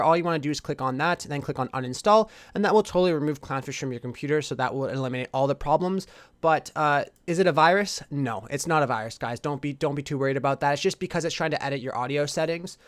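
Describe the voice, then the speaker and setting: Male tutorial host, informal and reassuring, rapid delivery, dry close-miked voice-over. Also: on the high side, no room sound. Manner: informal, reassuring explainer addressing viewers directly. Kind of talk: casual PC tutorial narration.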